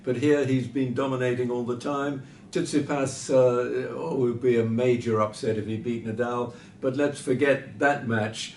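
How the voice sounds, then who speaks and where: Tennis pundit in a roomy, distant recording. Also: male voice, fairly even intonation, moderate pace, a little mellow. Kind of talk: off-the-cuff sports analysis. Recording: roomy, distant mic with background rumble.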